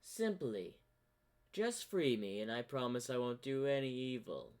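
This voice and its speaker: An ancient corrupted zebra spirit, acted out by a man for a fan cartoon, sly and manipulative. He drawls at a very unhurried pace, theatrical and teasing, as if bored by everyone. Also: medium pitch, very animated, clear, resonant tone. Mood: sly, persuasive. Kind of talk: fan-made fantasy cartoon voice acting.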